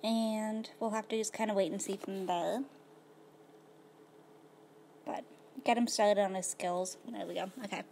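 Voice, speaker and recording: Slightly hoarse woman rambling over gameplay. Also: mid-range voice, fairly even intonation, unhurried, pauses often, a little mellow, a little hiss or hum. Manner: rambling, filler-heavy, laid-back. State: slightly hoarse, losing her voice. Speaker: gaming video host. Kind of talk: casual gameplay commentary.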